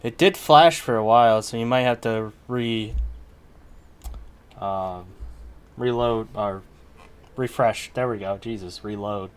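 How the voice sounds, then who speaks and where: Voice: medium pitch, slow pace, long pauses, smooth. A game streamer chatting casually and reacting to a game in short, offhand remarks. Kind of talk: casual livestream reaction chat.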